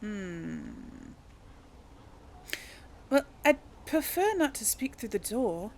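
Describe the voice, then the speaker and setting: A woman's voice: mid-range voice, sing-song, slow pace, darkish tone, slight background noise. A woman giving casual, musing game commentary, thinking aloud and speaking replies in character. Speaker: gaming video host.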